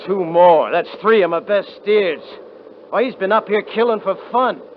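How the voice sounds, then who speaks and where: An actor playing a hard, cocky young cowboy rancher, blunt and mocking, on an old radio broadcast. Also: male voice, high voice, normal speed, a little hiss or hum, natural voice. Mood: eager, hunting. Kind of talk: 1950s radio drama acting. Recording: tinny 1950s radio broadcast with music.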